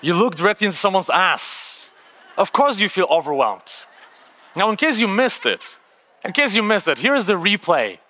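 A man from Poland speaks with a Polish accent, giving a conversational, high-energy workshop talk to a seated audience. His voice is bright and his pitch swings widely. It rings out with the long reverb of a large conference hall. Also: normal speed. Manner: energetic, conversational, frequent "right?" tags.

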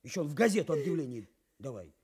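A woman playing a brash young village lad in a radio drama, shushing, wheedling and roughly teasing. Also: deep voice, sing-song, quick pace, a crowd in the background. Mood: wheedling, mocking. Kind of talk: Soviet radio drama acting.

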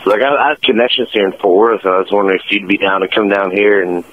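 A man's voice: fairly low pitch, fairly even intonation, fast talker. A man calling in to a radio show, talking hesitantly with many fillers over a phone line. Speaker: caller. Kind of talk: casual phone call.

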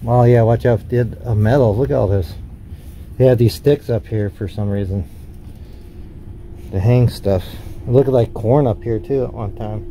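A man exploring an abandoned barn, giving casual, relaxed commentary on an action camera. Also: mid-range voice, moderate pace, long pauses, highs cut off, a little hiss or hum. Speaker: explorer vlogger.

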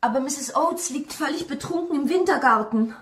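A woman's voice: sits high, normal speed, close to an everyday voice. An actress playing an anxious, earnest young female house employee in a radio drama, urgent and pleading. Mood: urgent, polite. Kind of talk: German radio drama acting. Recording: roomy echo, distant, clearly noisy.

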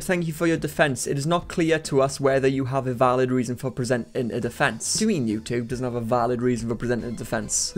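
A young man with a British accent, giving sarcastic, exasperated commentary in a vlog from his bedroom studio. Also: fairly high pitch. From United Kingdom. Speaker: commentary YouTuber.

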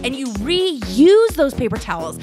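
A woman guest on a podcast, bubbly and excitable, chatting and arguing her side of a friendly debate. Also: high voice, unhurried, music in the background. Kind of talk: casual podcast chat and timed debate.

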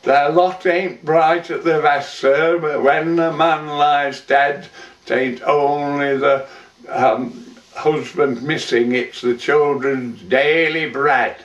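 A roomy, distant recording of a man acting as a weathered old lifeboatman. He tells his tale in folksy verse at a slow, measured pace. His manner is plain-spoken and sorrowful, like a stage monologue. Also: a little high, steady tone. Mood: grave storytelling. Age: older. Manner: measured, folksy storytelling with rustic dialect. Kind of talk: dramatic verse monologue recitation. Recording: roomy, dull, distant microphone.

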